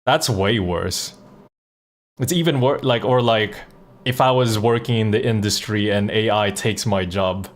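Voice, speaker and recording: A man's voice: fairly low pitch, a little slow. A man chatting casually on a livestream, blunt and conversational, with some swearing. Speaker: live streamer and voice actor. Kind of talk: casual livestream chat and reactions.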